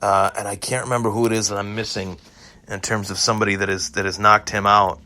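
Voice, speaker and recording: A man hosting a solo sports podcast, hoarse and a bit hungover, rambling through fight reactions with many fillers and restarts. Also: lowish voice, flat delivery, fast, sharp tone. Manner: rambling, repeats himself, frequent fillers. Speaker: podcast host.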